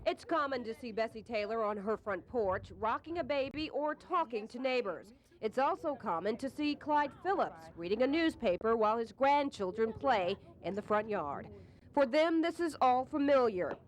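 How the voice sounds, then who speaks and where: Higher-voiced woman reporting TV news. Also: animated, normal speed. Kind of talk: scripted news package narration. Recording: faint background noise.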